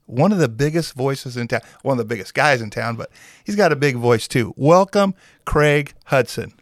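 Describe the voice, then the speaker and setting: Gravelly older radio host. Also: male voice, medium pitch, expressive, normal speed, no echo, clean studio sound. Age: 60s. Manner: folksy, chatty, teasing. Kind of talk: casual interview chat. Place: small radio studio.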